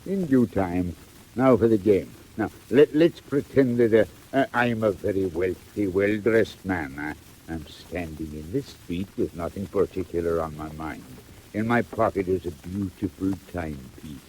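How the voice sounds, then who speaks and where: An actor playing a wily old gang master with oily, coaxing, falsely genial speech in 1940s audio drama style. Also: male voice, sits low, darkish tone. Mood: coaxing, sly. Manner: oily, theatrical, drawn-out coaxing. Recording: noisy old 1940s record.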